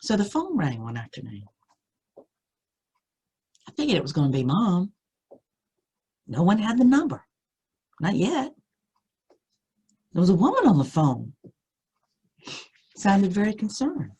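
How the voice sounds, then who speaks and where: Woman reading a creepy story out loud, kinda raspy, really animated pitch, draws out the suspense. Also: slow pace, pauses often. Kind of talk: dramatic first-person spooky story reading.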